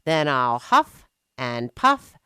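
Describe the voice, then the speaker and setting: Woman playing a slow, taunting wicked wolf. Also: very deep voice, very animated, pushed and bright, smooth, no room sound, studio quality, full character voice. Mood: menacing. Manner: huffing, drawn-out taunting. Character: wicked, hungry male wolf, menacing and taunting.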